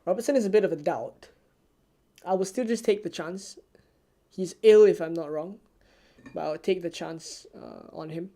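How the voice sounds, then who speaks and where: A streamer from Singapore, answering viewer questions in a live stream with a soft, low-key, almost ASMR-like delivery. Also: normal speed, creaky, pauses often. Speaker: fantasy football streamer.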